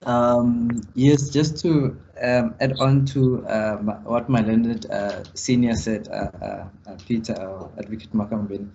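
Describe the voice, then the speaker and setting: A man on a legal panel, answering in a halting way full of ums and restarts over a video call. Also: medium pitch, steady tone, gravelly, warm tone, slight background noise. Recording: muffled video call audio.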